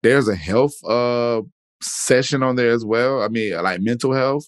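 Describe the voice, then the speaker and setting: A man hosting a podcast interview in relaxed, casual chat, rambling with many fillers and restarts. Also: mid-range voice, a little slow, no echo, clean studio sound. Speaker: podcast host.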